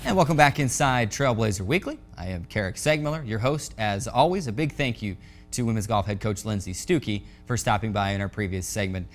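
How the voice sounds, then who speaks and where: A man hosting a sports show, speaking in a somewhat halting way with repeated words. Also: fairly low pitch, soft background music. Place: studio set.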